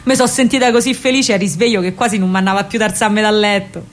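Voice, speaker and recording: A woman playing a spirited, dreamy young woman with a Roman accent in an expressive radio drama monologue full of dramatic pauses. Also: medium pitch, close to an everyday voice. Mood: dreamy, elated. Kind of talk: theatrical first-person monologue, radio drama.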